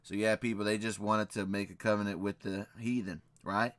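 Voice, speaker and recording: A man's voice: lowish voice, steady tone, a little fast, fairly bright tone, no room sound. A man hosting a reaction video, reading old records and scripture aloud in casual commentary, emphatic and repeating key phrases with frequent "right?". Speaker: channel host reacting to a video.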